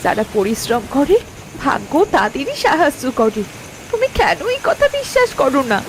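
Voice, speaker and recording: Woman acting a high-voiced, pleading farmer's wife. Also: wildly swooping pitch, moderate pace, slight background noise, exaggerated voice. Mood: pleading, firm. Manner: pleading, emotionally emphatic. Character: distressed, hardworking young farmer's wife, wronged yet principled. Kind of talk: moral-story cartoon dubbing.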